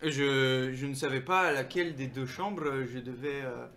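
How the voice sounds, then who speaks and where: A man acting a haughty, formally polite foreign hotel guest in a roleplaying game, speaking haltingly. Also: mid-range voice, a bit bright, lightly acted. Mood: stiff, haughty.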